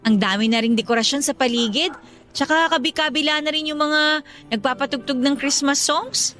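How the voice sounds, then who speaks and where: A woman's voice: high-pitched, moderate pace, fairly bright tone, soft background music. A woman playing a friendly, teasing young working mother in conversational radio drama dialogue. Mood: chatty.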